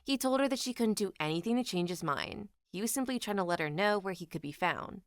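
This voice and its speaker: A woman's voice: mid-range voice, expressive, fairly quick, dry recording, studio quality. A woman reading out written anecdotes as a scripted online story narrator.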